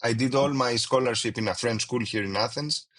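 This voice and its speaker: A man with a Greek accent answers interview questions in careful, non-native English. He pauses often, fills gaps with "uh" and "um", and restarts his sentences as he gathers his thoughts. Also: medium pitch, a bit bright. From Greece. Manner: hesitant, many fillers and restarts, non-native phrasing. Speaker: winemaker guest. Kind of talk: casual interview.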